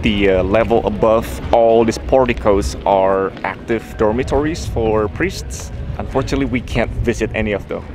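A man's voice: fairly low pitch, normal speed, few pauses, over music. A young man hosting a casual travel vlog outdoors, talking enthusiastically about what he sees. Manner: enthusiastic, marvelling. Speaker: travel vlogger host. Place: outdoors at a mountain monastery.

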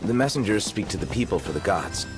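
A laconic young prince played by a man in a fantasy game, casual and understated. His voice sits fairly low but moves around freely, with music behind it. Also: expressive, fast talker, close to an everyday voice. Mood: reflective.

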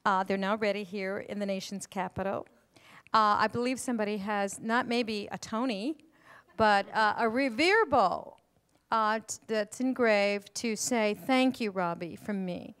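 A woman's voice: medium pitch, unhurried, a bit bright, clean tone. A middle-aged woman giving effusive, emphatic thank-you remarks on stage into a handheld microphone. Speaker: playwright and event host. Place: stage in an auditorium before an audience. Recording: live stage microphone with audience noise.

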